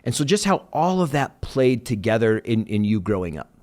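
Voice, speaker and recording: A man's voice: medium pitch, very animated, moderate pace, darkish tone. A man hosting a podcast interview, enthusiastically praising his guest and asking long, winding questions. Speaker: podcast host.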